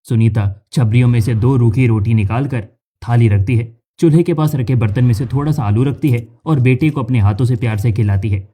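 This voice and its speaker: A man narrates a cartoon story from a script. His voice is mellow and dark, at a middling pitch. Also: brisk.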